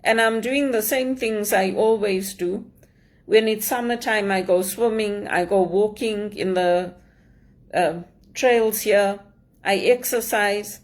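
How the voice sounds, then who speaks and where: A woman's voice: mid-range voice, slow. A woman from Zimbabwe in an earnest personal monologue, aggrieved, repeating phrases for emphasis. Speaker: immigrant sharing her story.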